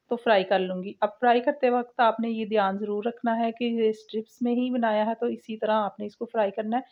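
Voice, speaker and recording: Higher-pitched woman narrating a recipe, dull sound. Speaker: cooking channel host. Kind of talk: recipe voice-over, step-by-step instruction. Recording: dull, lo-fi home recording.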